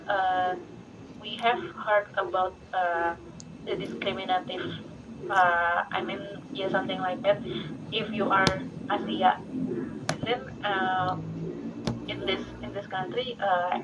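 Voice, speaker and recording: A woman with an Indonesian accent giving a hesitant, informal presentation, searching for words in English as a second language. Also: medium pitch. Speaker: participant presenting in a talk group. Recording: muffled, noisy, distant online call.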